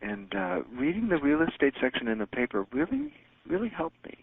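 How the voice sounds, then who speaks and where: A man's voice: medium pitch, very animated, brisk. A man hosting a teleconference, chatting casually and rambling with many fillers and restarts. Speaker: teleconference host answering callers. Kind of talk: casual phone chat. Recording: phone-line audio.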